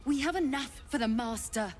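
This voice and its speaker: A woman plays a fearful vampire spawn in a fantasy game. Her voice is high, earnest and uneasy, with dramatic weight and faint noise behind it. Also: moderate pace, close to an everyday voice. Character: anxious, dutiful female vampire spawn, fearful and pleading. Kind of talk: dramatic video game voice acting.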